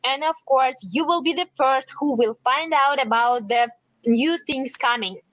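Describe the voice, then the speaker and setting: A woman with as her interprets into English, rendering each phrase right after the speaker and sometimes loosely. Her voice is high and bright. The recording is close and dry. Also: a little slow, no room sound, rough recording.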